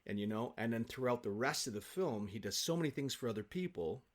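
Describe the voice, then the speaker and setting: A man speaking as an interview guest in casual, enthusiastic conversation, telling stories in an animated way. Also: medium pitch, quick pace.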